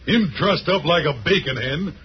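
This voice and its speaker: A man's voice: fairly high pitch, moderate pace, lightly acted. An actor playing a gloating, rustic outlaw captor who taunts his prisoner in an old radio western. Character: mocking male outlaw captor, folksy and gloating. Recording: dull, noisy old radio recording.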